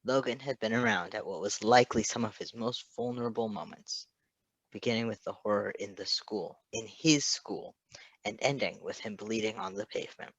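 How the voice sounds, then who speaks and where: A man's voice: on the low side, lively intonation, normal speed, gravelly, breathy edge, no room sound, poor audio. A man reading fan fiction aloud as a narrator, with casual asides.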